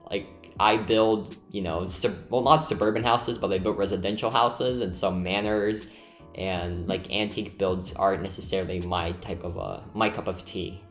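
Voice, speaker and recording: A man's voice: low voice, fairly bright tone. Lithuanian guy chatting casually, kinda rambly, echoey room, bit of music under it. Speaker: video creator and builder hosting a group chat. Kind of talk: casual chat over a game build video. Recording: roomy echo, music behind. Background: background music.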